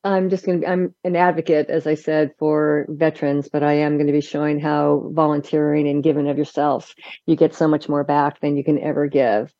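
A woman's voice: low voice, little pitch movement, fairly quick, mellow, no room sound, dull audio. A woman who advocates for veterans, giving brief, plain answers in an interview.

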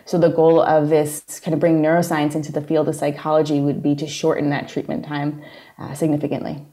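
Low-voiced woman with a New York accent. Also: brisk. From New York area, USA. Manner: explanatory, steady, plain-spoken. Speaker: guest psychologist. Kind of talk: casual interview conversation.

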